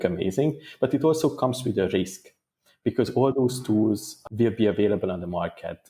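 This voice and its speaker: A man answering interview questions as a guest, in a thoughtful, measured way with small restarts. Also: lowish voice, dark tone. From Hungary. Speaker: guest data engineer.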